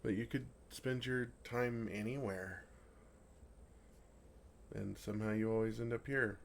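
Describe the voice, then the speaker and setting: A man playing a gentle, affectionate, innocently oblivious dragon in an intimate, earnest ASMR roleplay. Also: on the low side, steady tone, measured pace, vocal fry, a little hiss or hum. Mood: puzzled affection. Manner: earnest, softly teasing, innocently puzzled.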